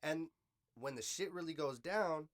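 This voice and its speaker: An American man chatting casually as a podcast host in street slang, with swearing and a loose, halting delivery. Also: fairly high pitch.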